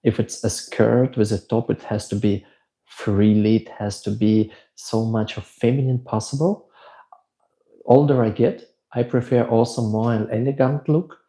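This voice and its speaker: A man's voice: lowish voice, fairly even intonation, a little slow, warm tone. A middle-aged man from Switzerland with a German accent, chatting casually as a livestream host, reading out viewer questions and answering at length. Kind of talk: casual live Q&A chat.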